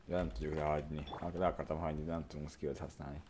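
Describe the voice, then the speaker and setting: A man's voice: very deep voice, flat delivery, racing, darkish tone, a little hiss or hum. A man with a Hungarian accent giving casual commentary as he streams a game.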